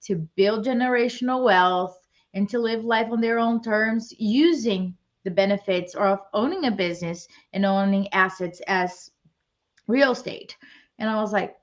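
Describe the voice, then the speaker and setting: A woman's voice: on the high side, expressive, unhurried, muffled recording. A woman from Brazil, earnestly telling her story as an interview guest.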